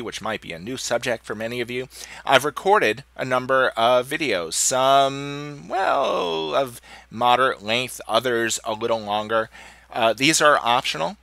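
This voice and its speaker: A man teaching an online course, talking through a screen recording in a relaxed way with lots of "uh"s, a bit stuffy and hoarse from a cold. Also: medium pitch, dry recording. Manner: relaxed, conversational, with frequent "uh" restarts. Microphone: computer mic, voice-over of screen capture.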